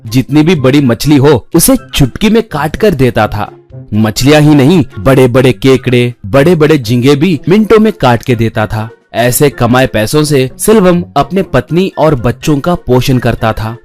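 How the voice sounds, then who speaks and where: Mid-pitched male storyteller over music. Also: expressive, a little slow, a little mellow. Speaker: narrator. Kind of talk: scripted children's story narration.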